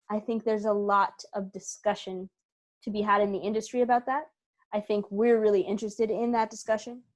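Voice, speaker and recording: A woman actor being interviewed, speaking conversationally and thoughtfully, repeating phrases and checking in with "right?". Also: medium pitch. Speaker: interviewed actor from a theater company. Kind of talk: earnest conversational interview answers.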